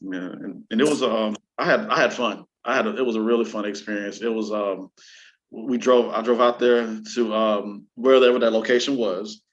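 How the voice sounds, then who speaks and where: A man's voice: fairly low pitch, steady tone, moderate pace. A man talking casually as a panelist in a conversation, recorded with dull sound.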